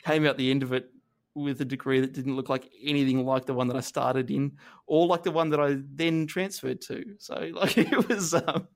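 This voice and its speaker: Australian man, 30s, interview guest; halting, self-deprecating, lots of restarts; mid-pitched. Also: steady tone. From northern New South Wales, Australia. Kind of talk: reflective conversational interview.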